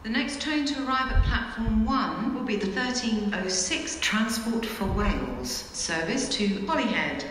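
A woman's voice: on the high side, lively intonation, unhurried, few pauses, a bit bright. A woman reads formal automated railway station announcements in clipped, pieced-together phrases over a public-address system. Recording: echoing station PA, noisy, long reverb.

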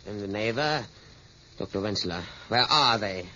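Casting: bewildered man, bright tense voice, muffled vintage radio play. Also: on the low side, animated, a little slow, slight background noise. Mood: urgent, puzzled. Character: urgent, bewildered man questioning a scientist. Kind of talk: 1950s radio drama acting. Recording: muffled old radio recording.

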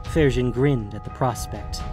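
A man narrates a fantasy story in an audio drama, reading scripted prose at a middling pitch. Soft music plays underneath him. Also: a little slow. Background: background music.

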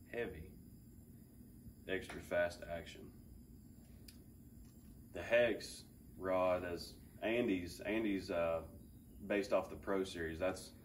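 A man in his thirties with a low voice talks through gear in a relaxed, eager way, with plenty of fillers. He is picked up by a camera several feet off in a roomy open garage. A faint hiss of ambience sits under him. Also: distant mic. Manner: relaxed, enthusiastic, thinks aloud with fillers. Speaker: fishing channel host. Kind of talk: casual product review. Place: large open garage with a boat. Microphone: camera several feet away.

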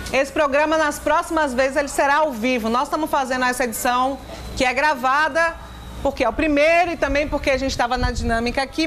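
A woman with a Brazilian Portuguese accent moderating a youth panel on an open-air terrace, in a casual, lively way. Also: high voice, slight background noise. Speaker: host.

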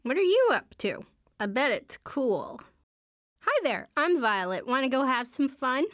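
Game role: shy teen superhero girl, higher-pitched, very animated melody, understated and earnest. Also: a little slow, no echo, clean studio sound, full character voice. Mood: tentative, friendly. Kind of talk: video game character voice lines.

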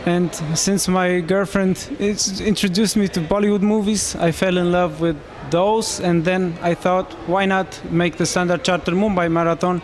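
A man in his thirties from Romania, speaking English, answering interview questions into a handheld mic in a small room, with halting phrasing and frequent restarts. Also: high-pitched, little pitch movement, a little hiss or hum. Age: 30s. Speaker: marathon runner interviewee.

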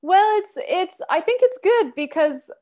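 Expressive woman musician on a video call. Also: very high voice, unhurried. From Canada. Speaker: singer and sax player, guest. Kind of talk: casual interview chat. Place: home room, indoors.

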